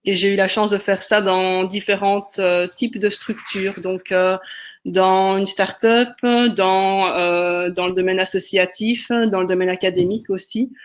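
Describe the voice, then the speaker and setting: A woman from Liège with a Belgian French accent, introducing herself on a webinar in a measured, explanatory way with many hesitations. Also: medium pitch, level tone. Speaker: guest, sociologist and entrepreneur. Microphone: video call.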